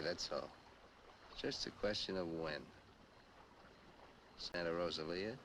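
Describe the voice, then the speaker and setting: Young American film noir killer, curt and taunting, low voice, noisy old soundtrack. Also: male voice, a bit bright. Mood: menacing. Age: 20s. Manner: curt, commanding, threatening. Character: menacing, taunting young male killer holding captives at gunpoint. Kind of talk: 1950s film noir acting.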